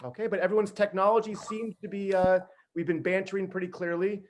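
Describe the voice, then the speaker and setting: A man hosting a community reading, speaking casually and haltingly with many hesitations. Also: high-pitched, little pitch movement, a little hiss or hum. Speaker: host introducing a community reading. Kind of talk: casual, informal welcome.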